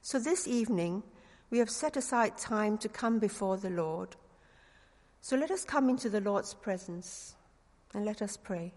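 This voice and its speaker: A woman's voice: medium pitch, animated, unhurried, darkish tone. Singaporean lady preacher, bit of london slang, earnest and a little jokey, church echo hanging after every word. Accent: Singaporean English with a touch of London slang. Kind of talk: sermon.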